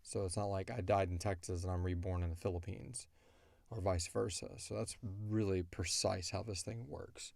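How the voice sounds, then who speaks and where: A man's voice: sits low, fairly even intonation, normal speed, creaky, darkish tone, no room sound. A man chatting casually as a podcast co-host, rambling and informal as he explains things. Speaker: podcast co-host and audio drama creator.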